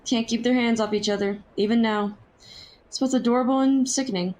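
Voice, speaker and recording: An actress playing a plucky, streetwise young Victorian match girl in a historical audio drama, brisk, slangy and teasing. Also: on the high side, fairly even intonation, darkish tone, lightly acted, low-quality recording. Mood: friendly, matter-of-fact.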